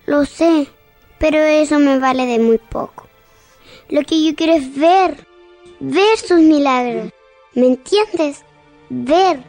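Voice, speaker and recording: High-voiced, solemn little girl. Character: blind seven-year-old girl, serious, mature, disillusioned daughter. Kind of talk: radio drama acting.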